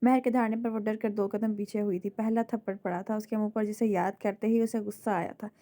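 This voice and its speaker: A woman with a sore, hoarse throat reading a romance novel aloud with dramatic storytelling. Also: medium pitch, steady tone, fairly quick, mellow. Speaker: novel narrator.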